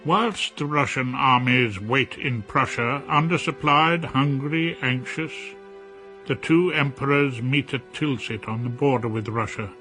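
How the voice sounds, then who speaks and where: A man's voice: mid-range voice, unhurried, sharp tone, soft background music. A British man acting as a grave historical narrator in a radio drama, delivering scripted narration. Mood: grave, measured.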